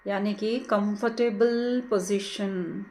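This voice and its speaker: A woman leading a guided meditation, giving soothing, gentle, unhurried instructions. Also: medium pitch, fairly even intonation. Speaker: meditation teacher.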